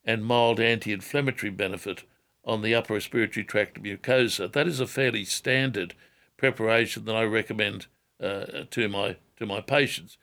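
An older man answering callers on a radio health show, measured and careful, often repeating words and hedging before giving advice. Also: fairly low pitch, steady tone, a bit bright.

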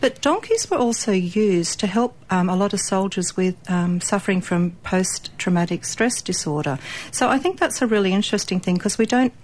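A woman's voice: mid-range voice, animated, normal speed, darkish tone. An Australian woman guest on a radio show, explaining earnestly with frequent ums and restarts. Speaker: pet expert guest. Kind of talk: radio interview.

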